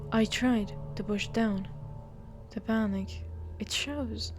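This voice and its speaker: Female game streamer, higher register, unhurried delivery, reads story text with stumbles and blunt, playful asides, music underneath. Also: steady tone, darkish tone. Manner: stumbles over words while reading aloud. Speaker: game streamer doing a walkthrough. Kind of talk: casual visual novel read-aloud with commentary.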